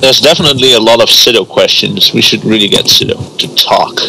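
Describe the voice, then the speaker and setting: A man's voice: medium pitch, fairly quick, gravelly, sharp tone, a little hiss or hum, low-quality recording. A man, hosting a casual group voice chat and explaining ideas loosely. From Netherlands.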